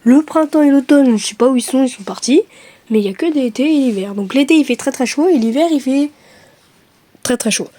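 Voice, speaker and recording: A woman's voice: high voice, fairly quick, mellow, no room sound. A young woman from France chatting casually to her camera, hesitant with many fillers and self-mocking asides. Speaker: vlogger.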